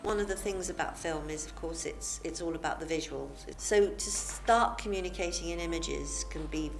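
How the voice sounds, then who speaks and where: Lower-voiced middle-aged woman, conversational. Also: moderate pace, vocal fry, soft background music. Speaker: film course leader. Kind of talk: conversational interview, recounting.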